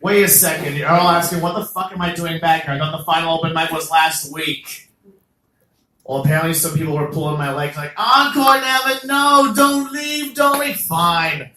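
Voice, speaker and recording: A young man doing a stand-up comedy set as the host of an open mic, animated and jokey, with loud bursts on the punchlines. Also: fairly high pitch, normal speed, echoey room, low-quality recording. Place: small comedy venue.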